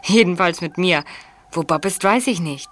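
A woman's voice: fairly low pitch, moderate pace, fairly bright tone, slight background noise, close to an everyday voice. A woman playing a plucky teenage boy sidekick in an audio drama, casual and boyish. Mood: relieved.

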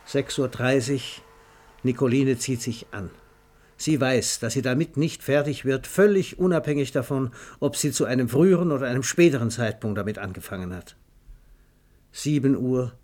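A man's voice: medium pitch, moderate pace, a little mellow, close to an everyday voice. A man playing a literary narrator for a radio drama. He is precise and dry, with a wry undertone, and speaks in a mid-range voice. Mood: measured, wry.

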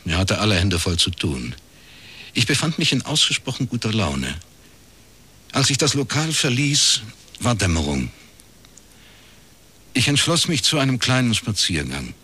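An actor playing a wry, laconic private detective with a husky voice, in radio crime drama narration and dialogue. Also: male voice, lowish voice, exaggerated voice. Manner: dry, deadpan, understated. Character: wry, laconic male East Berlin private detective, ex-academic.

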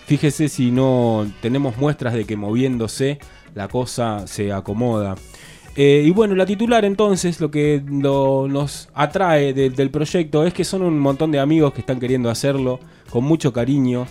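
A man's voice: medium pitch, moderate pace, mellow, soft background music. A man with an Argentine accent hosting a casual radio interview in an informal, jokey, colloquial way. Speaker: radio host.

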